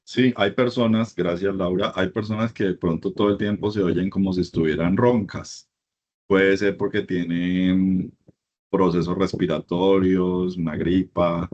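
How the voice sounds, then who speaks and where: A man's voice: deep voice, darkish tone, muffled recording. A middle-aged man from Colombia giving a university class lecture, emphatic and repeating his points in lists.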